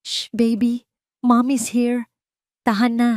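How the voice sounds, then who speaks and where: A woman's voice: fairly high pitch, little pitch movement, takes it slowly, darkish tone, dry recording, clean studio sound, voice pushed up. A Filipino woman voicing a heartbroken, tender mother and betrayed wife in an audio drama, soothing and sorrowful.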